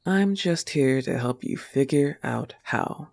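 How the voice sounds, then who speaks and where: A man plays a goth wolf-girl girlfriend in an intimate audio roleplay. The delivery is unhurried and soft, often close to a whisper. The pitch sits at an average male level, with a tender, teasing and territorial manner. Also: expressive. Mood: firm, supportive. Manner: slow, soft-spoken, often dropping to breathy whispers.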